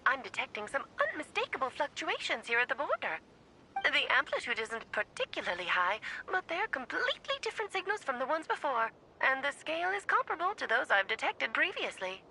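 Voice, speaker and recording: A woman's voice: high voice, very animated, brisk, sharp tone, a little hiss or hum, heavily acted, low-quality recording. An actress playing a breezy, inquisitive woman researcher in a video game, chatty and articulate. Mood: curious, breezy.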